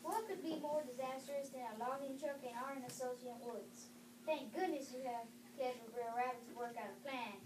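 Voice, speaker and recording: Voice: high voice, normal speed. A young student reading a part aloud in a class readers theatre performance. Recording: distant, echoey, noisy classroom recording.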